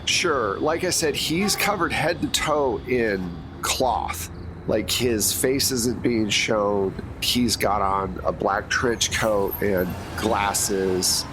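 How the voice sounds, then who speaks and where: A man narrating as game master of a tabletop game, describing scenes in a relaxed way with frequent fillers. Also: fairly low pitch, slow. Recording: noisy background.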